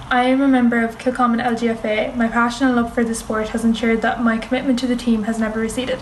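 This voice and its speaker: A woman's voice: a little high, reverberant, distant mic, a little hiss or hum, low-quality recording. A nineteen-year-old Irish woman giving a formal, prepared self-introduction as a pageant contestant. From Ireland. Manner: formal, reads a prepared speech.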